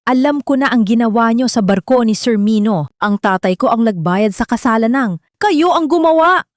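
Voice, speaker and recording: A woman's voice: fairly high pitch, expressive, normal speed, no echo, studio quality, voice pushed up, lightly acted. A woman voicing a brave, devoted nanny and mother in an audiobook melodrama, impassioned and fiercely protective. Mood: defiant. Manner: impassioned, pleading, defiant.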